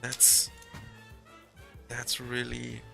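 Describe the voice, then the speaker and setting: A man hosting a casual livestream and reading out viewer comments in a halting, hesitant way. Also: medium pitch, completely flat delivery, vocal fry, sharp tone, faint music behind.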